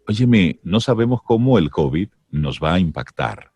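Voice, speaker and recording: A man's voice: low voice, big pitch swings, moderate pace, darkish tone, husky, dry recording. A man hosting a radio interview, speaking emphatically and expressively. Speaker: radio host.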